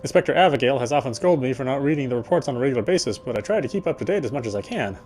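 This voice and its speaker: A man's voice: mid-range voice, animated, rapid-fire, a little mellow, slight background noise, natural voice. An actor playing a weary male city detective, narrating in the first person with a wry inner voice.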